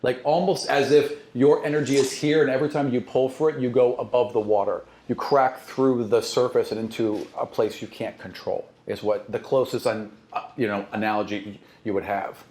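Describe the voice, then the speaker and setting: A man's voice: mid-range voice, fairly even intonation, normal speed, darkish tone, echoey room. A man working as game master, narrating a tabletop game in a casual, conversational way and repeating phrases for emphasis.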